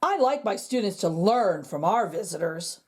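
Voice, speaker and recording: A woman's voice: mid-range voice, expressive, normal speed, breathy edge, pitched below the performer's own voice. A woman doing a polite, proper male principal. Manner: polite and formal.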